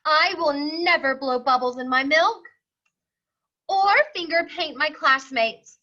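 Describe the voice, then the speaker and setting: A woman's voice: sits high, slow, pushed and bright, highs cut off, voice pushed up, heavily acted. A woman voicing an eager, earnest young pig schoolboy in a children's storybook, pleading in an emphatic singsong. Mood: earnest promising. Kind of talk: children's storybook read-aloud.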